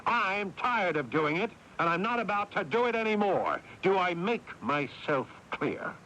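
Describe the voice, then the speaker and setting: Brooding, weary older brother, lo-fi radio. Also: male voice, high-pitched, very animated, measured pace, pushed and bright, a little hiss or hum, full character voice. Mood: fed up, angry. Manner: dramatic, world-weary delivery. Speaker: narrator and lead actor. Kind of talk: 1980s radio drama acting. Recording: dull lo-fi AM radio broadcast.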